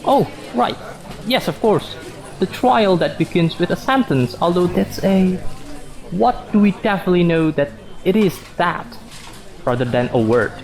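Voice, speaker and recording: Radio drama role, male actor: wordy, anxious suspended office man, dry sarcasm, animated intonation, roomy echoing sound. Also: sits high, unhurried, soft background music. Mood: puzzled. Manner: wordy, bookish, dryly sardonic. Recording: echoey room sound.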